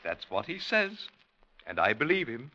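Unctuous inhuman gentleman, lively pitch. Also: measured pace, exaggerated voice. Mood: deferential. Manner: courteous, formal, unctuous. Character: pale inhuman creature dressed as a man, genteel and sly. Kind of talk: old-time radio drama acting. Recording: dull vintage radio recording.

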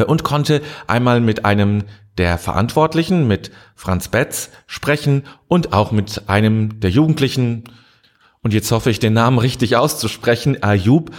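A man hosting short interviews for a community outlet, talking in a conversational way with fillers and restarts. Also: on the low side. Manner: conversational, with fillers and self-corrections. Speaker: community media host. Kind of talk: casual report and interview.

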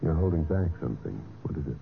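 An actor playing a cold, scheming husband in a 1950s radio mystery, with a smooth, calculating manner. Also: male voice, a bass voice, expressive, quick pace, slight background noise, exaggerated voice. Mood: feigned tenderness. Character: scheming, cold husband narrating his own murderous plot. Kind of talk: 1950s radio mystery acting and first-person narration. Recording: muffled old radio broadcast with music.

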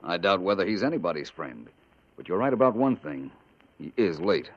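A cool American private detective from an old radio mystery. The voice is very low, measured and articulate, with dry self-assurance. The sound is muffled and dated. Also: male voice, sing-song, exaggerated voice. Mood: dry, analytical. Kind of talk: 1950s American radio detective drama acting.

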